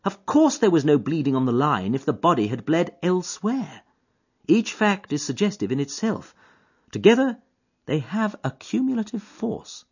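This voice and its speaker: A man reading an audiobook voices an eager, high-strung detective who reasons aloud quickly and emphatically. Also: very animated, slightly rough, heavily acted. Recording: dull lo-fi audiobook recording.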